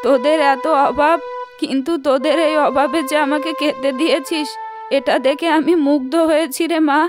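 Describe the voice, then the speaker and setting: A woman voicing a weary, gentle old wandering traveller in a cartoon moral story. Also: high voice, fairly even intonation, moderate pace, close to an everyday voice. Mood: grateful. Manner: gentle, blessing. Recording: music behind the voice.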